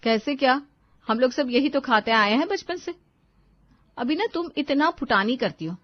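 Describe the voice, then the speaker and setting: Woman acting a lecturing elder sister, raised pitch. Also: animated, moderate pace, muffled recording. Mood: scolding. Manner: lecturing, matter-of-fact. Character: practical elder daughter, scolding her fussy younger sister.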